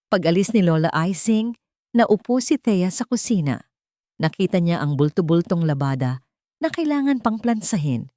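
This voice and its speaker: A woman's voice: on the low side, dark tone, dry recording, clean studio sound. A woman with a Filipino accent reading a scripted romance story as a dramatic narrator.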